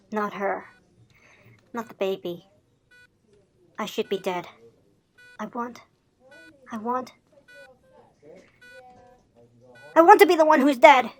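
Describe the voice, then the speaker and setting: A woman voicing a traumatized young male Dalmatian pup in an emotional cartoon fan drama, halting and anguished. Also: sits high, natural voice. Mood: broken, despairing. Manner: anguished, halting, swinging between hushed and loud.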